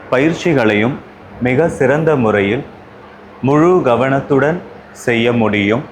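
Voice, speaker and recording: A man with a Tamil Indian accent guiding a yoga relaxation class, calm and unhurried, giving instructions in short spaced phrases. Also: mid-range voice, a little hiss or hum, poor audio.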